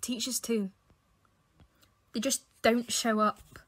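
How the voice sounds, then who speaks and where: A woman's voice: a little high, steady tone, slow pace. A young woman playing an anxious, insistent university student in a fan audio drama, urgent and questioning. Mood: earnest. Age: young adult.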